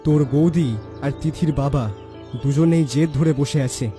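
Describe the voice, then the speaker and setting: A man's voice: fairly high pitch, fairly even intonation, normal speed, warm tone, faint music behind, lightly acted. An actor playing a crafty, confident young best friend in a cartoon, bossy and teasing as he urges his pal on. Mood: urging. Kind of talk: dramatic cartoon voice acting.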